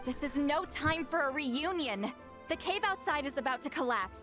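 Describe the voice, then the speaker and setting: High-voiced feisty heroine. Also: female voice, animated, normal speed, a bit bright. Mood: urgent. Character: feisty, commanding young female fantasy heroine. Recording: faint game music behind.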